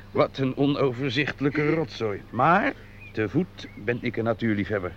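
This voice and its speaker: An actor playing an easygoing, boastful nature lover and angler, jovial and unhurried, in a muffled radio play with some noise. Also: male voice, medium pitch, expressive, close to an everyday voice. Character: easygoing, boastful angler and self-confessed driving maniac. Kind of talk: Dutch radio play acting.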